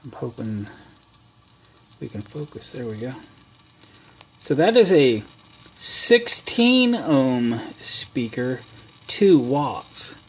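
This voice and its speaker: A man's voice: medium pitch, sing-song, takes it slowly, pauses often, low-quality recording. An American man hosting a repair how-to video, talking in a casual, folksy way and thinking aloud as he demonstrates. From United States. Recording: clear background noise.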